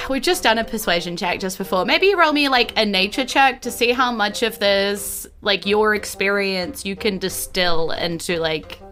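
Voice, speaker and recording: A woman's voice: mid-range voice, unhurried, hardly any pauses, pushed and bright. A woman, narrating a tabletop game as game master in a casual, chatty, giggly way. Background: faint background music.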